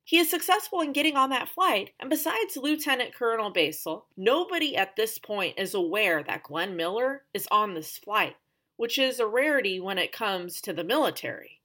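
A woman's voice: mid-range voice, lively intonation, moderate pace, bright, forceful tone. A woman hosting a podcast, telling a story in a conversational scripted narration with emphatic, dramatic phrasing and chatty asides. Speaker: podcast host.

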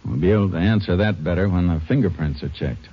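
An actor playing a calm, methodical Texas Ranger detective in a 1950s radio drama. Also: male voice, low-pitched, husky. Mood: steady. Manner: steady, measured and confident. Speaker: lead detective. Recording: dull lo-fi vintage radio recording.

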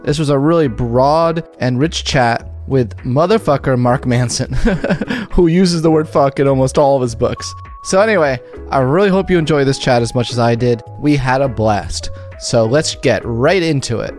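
A man's voice: mid-range voice, normal speed, darkish tone. Upbeat man introducing over guitar. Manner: upbeat, casual, swears. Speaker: podcast host. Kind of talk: podcast intro. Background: guitar music.

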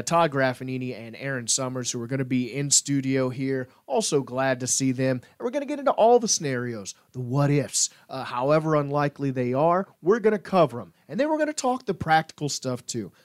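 A man's voice: medium pitch, lively intonation, brisk, few pauses. A man co-hosting a sports podcast in casual, informal and optimistic fan talk.

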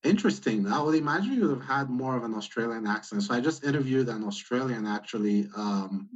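A man's voice: mid-range voice, animated, brisk. A man hosting a casual interview, asking long rambling questions full of fillers, with an accent that mixes several places. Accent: mixed, partly American. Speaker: podcast host. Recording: dull lo-fi sound.

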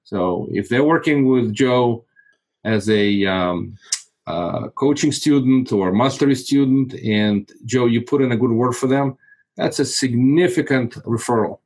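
A man in his forties from Moldova, a native Russian speaker, calmly talking about his real estate investing in a casual interview, often repeating words as he searches for them. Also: medium pitch, unhurried. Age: 40s.

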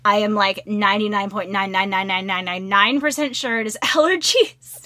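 A woman co-hosting a podcast in casual chat, slightly hoarse and congested, rambling and swearing casually. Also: mid-range voice, normal speed, bright, forceful tone, no room sound. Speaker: podcast co-host. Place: small closet.

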